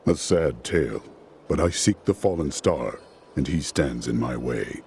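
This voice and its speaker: An actor playing a grim, resolute male hero in a game, speaking in a solemn, steady way. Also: rumbling low, measured pace, slight background noise, heavily acted.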